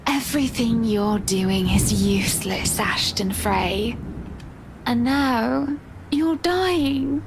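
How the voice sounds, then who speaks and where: A woman playing an eerily calm, sweetly taunting wife in a horror game, with a condescending manner. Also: fairly high pitch, slow pace, music in the background, poor audio. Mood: cold, mocking.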